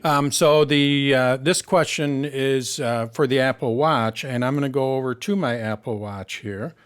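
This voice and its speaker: A man with a Michigan accent answers viewers' tech questions in a live chat, mid-pitched and easygoing. He explains things loosely with plenty of ums and small restarts, sounding like a friendly, practical tutor. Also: unhurried, clear, resonant tone. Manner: relaxed, explains with everyday examples, frequent "um".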